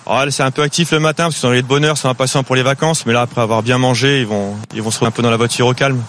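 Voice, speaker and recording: A man chats casually with a reporter at a roadside stop. He speaks quickly at an average pitch, easygoing and matter-of-fact, with faint noise around him. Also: steady tone, fairly bright tone. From Oise, France. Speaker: interviewed holiday driver. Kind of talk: casual vox pop. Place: roadside charging station. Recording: light background noise on location.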